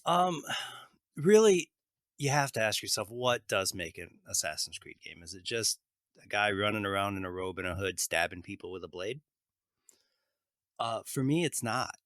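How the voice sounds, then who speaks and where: American guy, podcast guest, lowish voice, dry close sound, laid-back joking. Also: moderate pace, dry recording. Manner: relaxed, joking. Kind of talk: casual podcast chat.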